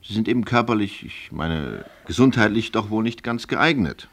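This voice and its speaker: An actor playing an apologetic, evasive company official in a German radio play, hedging and placating hesitantly. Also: male voice, on the low side, expressive, moderate pace, a bit bright. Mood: awkward, evasive.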